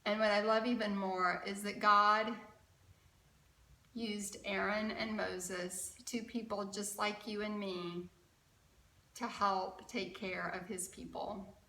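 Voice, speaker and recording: A woman reading a picture book aloud to children in an expressive, engaging way. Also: mid-range voice, slow pace. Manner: expressive, asks the young viewers questions. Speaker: children's storyteller at a church story time. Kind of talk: animated read-aloud for children. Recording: roomy, from a distant mic.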